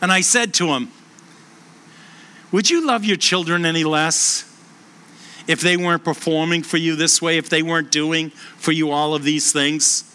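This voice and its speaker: Bright-voiced Boston pastor preaching. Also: male voice, steady tone, smooth. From Boston, USA. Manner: earnest storytelling, slows and lowers voice for emphasis. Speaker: pastor and hospice chaplain. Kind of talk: sermon.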